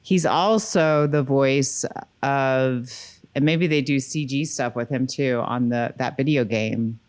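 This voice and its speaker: A woman's voice: a bass voice, takes it slowly, darkish tone. A woman co-hosting a podcast in a casual, conversational style. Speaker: podcast co-host.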